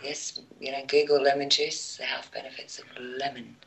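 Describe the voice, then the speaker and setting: A woman's voice: rumbling low, level tone, moderate pace, gravelly, crowd noise, rough recording. A woman speaking as a guest in a casual radio chat. She makes her points emphatically, then trails off into near whispers. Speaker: show guest.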